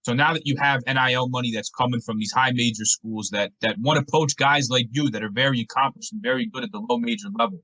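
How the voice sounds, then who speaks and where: Quick, bright-voiced male sports podcast host. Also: mid-range voice, no room sound, rough recording. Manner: long, detailed lead-ins to questions. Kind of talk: conversational interview questions, sports talk.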